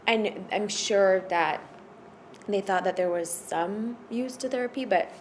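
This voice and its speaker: A woman's voice: mid-range voice, measured pace, slight background noise. A woman from Florida, a podcast guest, telling her personal story in a casual, hesitant way with lots of fillers and restarts. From United States (Florida).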